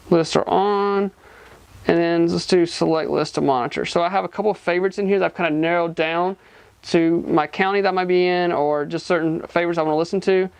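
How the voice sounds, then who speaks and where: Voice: mid-range voice, vocal fry, clear, resonant tone. A person giving a casual, hands-on product demonstration, explaining informally step by step. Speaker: gadget reviewer.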